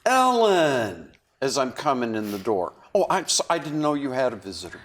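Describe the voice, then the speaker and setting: A man's voice: medium pitch, big pitch swings, normal speed, fairly bright tone. A male actor talking through his script in rehearsal. He speaks conversationally in a mid-range voice. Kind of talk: discussing script delivery.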